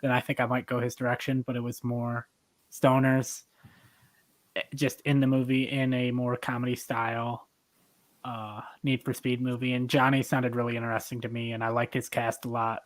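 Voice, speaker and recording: A man's voice: mid-range voice, level tone, normal speed, no room sound. A man chatting casually as the host and judge of a podcast debate. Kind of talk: casual chat.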